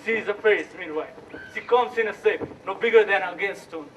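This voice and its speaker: A man's voice: sits high, fairly quick, close to an everyday voice. A young man acting a dreamy, rambling character telling a nonsensical tale in a comic school Shakespeare parody. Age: young adult. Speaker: student actor in a school play. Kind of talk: comic stage monologue. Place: school stage, recorded from afar. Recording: muffled, distant, noisy stage recording.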